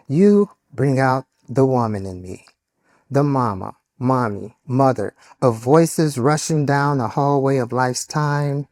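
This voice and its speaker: A man reading his own poems aloud at a literary panel, slow and deliberate in his delivery. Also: mid-range voice, darkish tone. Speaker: poet. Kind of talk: poetry reading.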